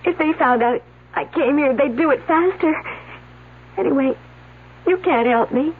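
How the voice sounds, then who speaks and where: A frightened young female student stammers and hesitates in a high, thin voice. Her words come haltingly. It is heard through a narrow, phone-like old radio recording. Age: young adult. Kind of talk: 1970s radio drama acting.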